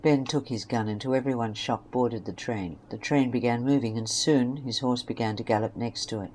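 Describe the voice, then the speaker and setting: A woman's voice: a bass voice, normal speed, a little mellow, slight background noise. A woman reading scripted story narration for an amateur audio drama.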